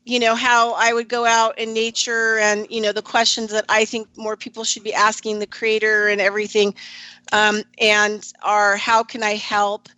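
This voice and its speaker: A woman's voice: medium pitch, completely flat delivery, moderate pace, sharp tone, no echo. A woman hosting a radio panel, casual and chatty with fillers as she asks long questions. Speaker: radio show host.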